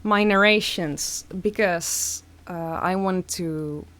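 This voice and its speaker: Young woman, Dutch accent, unhurried halting stream chat. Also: lowish voice, a bit bright. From Netherlands. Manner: slow, halting, many fillers. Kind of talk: casual live-stream chat.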